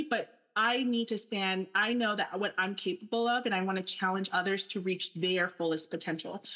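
A woman's voice: medium pitch, a bit bright. A young adult woman speaking earnestly and candidly in a panel discussion, talking through her thoughts with fillers. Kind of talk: personal reflection in a panel discussion.

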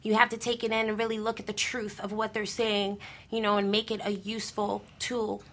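A woman speaks in a sharp, bright voice with little pitch movement. She gives casual panel advice emphatically, circling back to repeat her points. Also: little pitch movement, a little fast. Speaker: panel guest.

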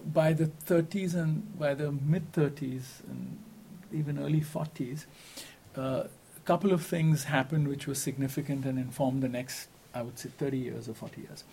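A man's voice: mid-range voice, fairly even intonation, moderate pace, vocal fry, warm tone, a little hiss or hum, rough recording. A man in a casual academic discussion, explaining at length with many fillers and restarts. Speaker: faculty researcher.

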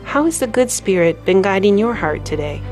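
A woman reading a calm, measured spiritual reflection for a radio segment. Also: mid-range voice, soft background music. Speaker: radio devotional presenter.

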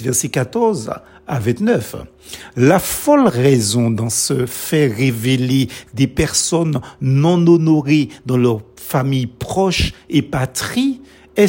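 A man whose first language is a Creole, preaching a sermon in short, measured, emphatic phrases. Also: on the high side, darkish tone, slightly rough.

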